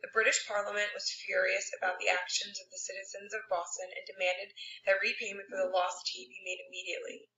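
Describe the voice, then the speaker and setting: A woman's voice: medium pitch, flat delivery, a little fast, bright, forceful tone. A woman narrating a scripted lesson text as a read-along. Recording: roomy, dull, distant, faint hum.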